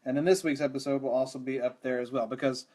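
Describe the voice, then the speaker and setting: A young man runs a casual live podcast stream from home into a studio microphone. His voice is hoarse and rattly, and he talks in loose, rambling runs full of restarts and self-deprecating asides, sitting at an ordinary middle pitch for a man. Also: steady tone, fast, dark tone. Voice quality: rattly, strained voice. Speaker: podcast host. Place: home room with mic.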